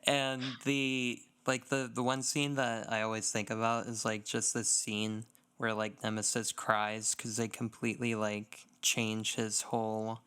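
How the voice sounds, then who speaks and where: A man's voice: on the low side, monotone, measured pace, few pauses, pushed and bright, clear, resonant tone. A man co-hosting a gaming podcast in casual chat, feeling his way through sentences with lots of fillers. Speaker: podcast co-host.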